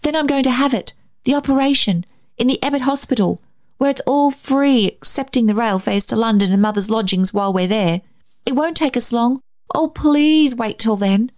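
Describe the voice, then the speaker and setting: A woman's voice: on the high side, normal speed, darkish tone, voice pushed up, close to an everyday voice. A woman voicing an earnest, pleading little blind boy in a narrated story, with a childlike manner. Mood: eager pleading. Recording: roomy echo, faint music.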